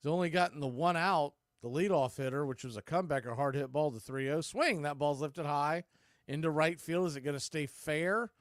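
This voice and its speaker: Guy doing live baseball radio play-by-play, voice a bit high, short clipped calls, close dry sound. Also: a little fast, no echo.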